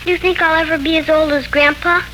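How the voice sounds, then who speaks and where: Seven-year-old girl, high and bright, inquisitive chatterbox for an old radio serial, noisy vintage recording. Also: fairly even intonation, quick pace. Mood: curious. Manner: inquisitive, childlike, eager to show off. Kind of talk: 1940s radio serial acting.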